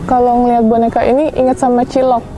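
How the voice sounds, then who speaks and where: A woman's voice: on the high side, level tone, quick pace, warm tone. A woman chatting casually as an interviewing host. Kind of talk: casual chat. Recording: music bed behind the talk. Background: music.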